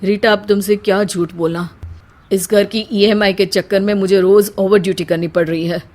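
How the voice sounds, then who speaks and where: A woman voicing a patient, sensible middle-class husband in a family story, speaking in a gentle, placating way. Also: medium pitch, fairly even intonation, moderate pace, voice pushed down, natural voice. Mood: tired, resigned. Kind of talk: dramatised Hindi family storytelling.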